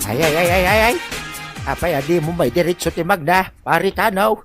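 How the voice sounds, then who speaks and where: Man acting a high-pitched, nosy Ilocano neighbour. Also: fairly quick, over music, close to an everyday voice. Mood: astonished. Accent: Filipino (Ilocano). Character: teasing, nosy Filipino male neighbour, easily amused. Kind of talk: broad comic drama acting.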